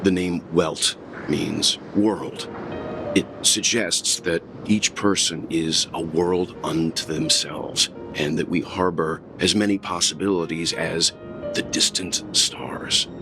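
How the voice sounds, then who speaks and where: An actor playing a calm, wise traveller in a story game, speaking in a measured, earnest, philosophical way. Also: male voice, deep voice, noisy background. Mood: earnest, reflective. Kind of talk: video game story acting.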